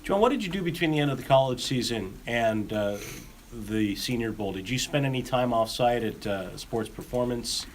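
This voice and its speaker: Guy reporter on a press call, medium voice, halting questions, slight noise. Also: mid-range voice, animated. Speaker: sports reporter. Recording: conference call with faint noise.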